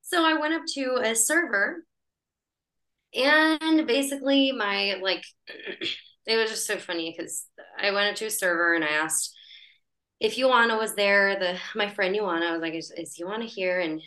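A woman's voice: mid-range voice, unhurried, pushed and bright. A woman telling a personal story as a podcast guest in a chatty, breezy way, laughing as she talks. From United States. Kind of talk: casual storytelling in conversation.

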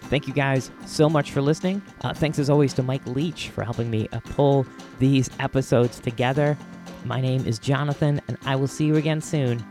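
A man's voice: medium pitch, fairly even intonation, normal speed, a little mellow, soft background music. A man with an American accent hosting a podcast in a casual, rambling, reflective way. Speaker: podcast host.

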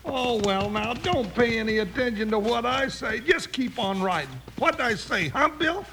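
An actor playing a big comic deputy sidekick in a radio western, folksy and complaining, with an American Western accent. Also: male voice, very high voice, expressive, normal speed. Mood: grumbling. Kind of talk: 1950s radio western acting. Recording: noisy vintage radio recording.

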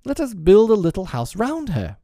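Man acting commanding boy gang leader, earnest, formal, raised lively pitch, storybook character. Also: brisk, no room sound, studio quality. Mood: eager.

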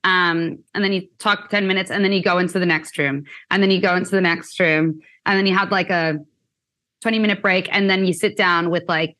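A young woman from Canada talks about her music in a relaxed interview. Her voice sits a little low, and she fills her talk with "like" and "um" as she rambles along. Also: fairly quick. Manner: chatty, many fillers like "like" and "um". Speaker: musician guest. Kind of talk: casual interview chat.

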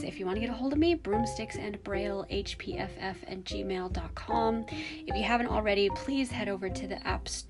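A woman with a young-sounding voice hosting a podcast, musing hesitantly with many fillers. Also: medium pitch. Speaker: podcast host. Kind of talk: casual solo podcast commentary.

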